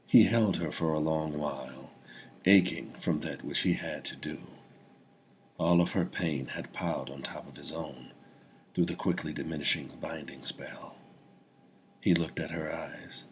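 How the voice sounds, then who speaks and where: A man's voice: a bass voice, slight background noise, low-quality recording. A man reading his own fantasy story aloud as dramatic audiobook narration.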